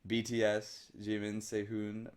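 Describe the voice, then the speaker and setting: Excitable young man reading comments. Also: on the low side, clean tone. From Pennsylvania, US. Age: young adult. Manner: excitable, jokey, reads comments aloud quickly. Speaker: livestream co-host. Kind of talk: casual live-stream chat reading viewer comments.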